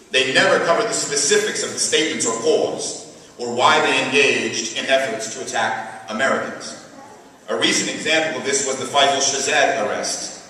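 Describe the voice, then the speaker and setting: A man in his thirties reading a prepared speech at a podium in a mosque prayer hall, in a measured, deliberate way. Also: medium pitch, pushed and bright, slight background noise. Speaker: speaker at a community event. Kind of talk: read speech. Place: mosque prayer hall, indoors, medium-sized. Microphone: podium mic filmed by a far camera. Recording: echoey hall, voice heard from far off.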